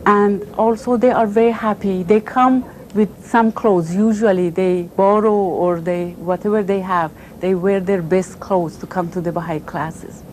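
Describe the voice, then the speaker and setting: A woman's voice: medium pitch, steady tone, unhurried, hardly any pauses, a little mellow, low-quality recording. A woman with non-native English phrasing giving an informal interview account as a coordinator. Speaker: refugee office coordinator. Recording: faint background ambient noise.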